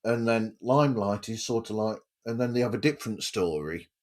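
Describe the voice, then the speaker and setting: Male podcast interviewer, fairly low register, casual and conversational delivery. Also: lively intonation, brisk, darkish tone.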